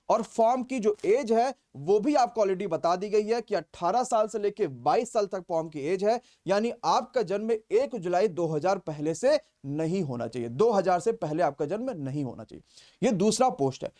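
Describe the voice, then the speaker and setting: A man's voice: high voice, a little mellow. A man explaining informally as a coaching teacher, repeating key points for emphasis. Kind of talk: informal exam vacancy briefing.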